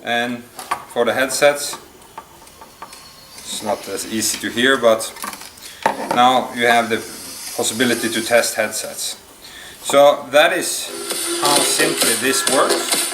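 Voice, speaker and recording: A man giving an unscripted product demonstration, explaining step by step in a halting, hesitant way. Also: medium pitch. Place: indoor room at a table. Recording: echoey room, distant mic, faint noise.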